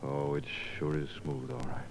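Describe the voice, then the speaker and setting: An actor playing an eager, boyish young student in love, in 1950s radio comedy style. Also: male voice, extremely low pitch, flat delivery, moderate pace, darkish tone. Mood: lovestruck. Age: young adult. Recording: muffled, noisy 1950s radio broadcast.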